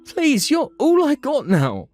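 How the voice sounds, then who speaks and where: Man putting on a whiny higher-pitched younger brother. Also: huge pitch swings, slow pace, darkish tone, soft background music, exaggerated voice. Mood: pleading. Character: whiny, arrogant younger brother, defensive and pleading. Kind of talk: manga dub character acting.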